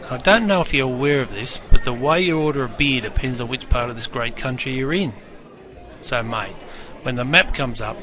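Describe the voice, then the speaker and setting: A man's voice: mid-range voice, lightly acted. Cheeky broad-Aussie barman, played by a man. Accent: broad Australian. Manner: jokey, teasing, heavy slang. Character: cheeky, matey Aussie barman teaching beer slang. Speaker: bartender guide. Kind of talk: interactive game character patter. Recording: busy crowd noise behind. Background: crowd chatter.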